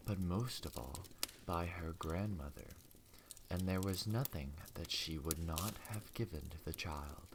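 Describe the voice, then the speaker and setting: A soft-spoken man giving a gentle, unhurried, hushed ASMR bedtime story reading. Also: low-pitched, noisy background.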